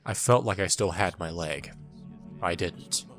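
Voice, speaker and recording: A man's voice: low-pitched, unhurried, music in the background. A man playing a bitter wounded young soldier, narrating an audio drama in first person with a somber, wry manner. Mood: wry, bleak. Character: bitter wounded young male soldier recounting his war.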